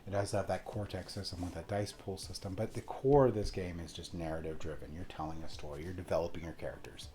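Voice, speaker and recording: A man's voice: deep voice, fast, hardly any pauses, mellow. A man giving a casual, rambling, enthusiastic review as he explains a game rulebook. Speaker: tabletop game reviewer, host.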